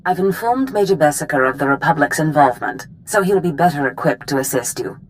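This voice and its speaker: A female Sith master in a video game. Her voice is very deep for a woman and lively in pitch. She is commanding and scheming, over faint background noise. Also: rough recording. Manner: authoritative, deliberate. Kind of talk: video game cutscene acting.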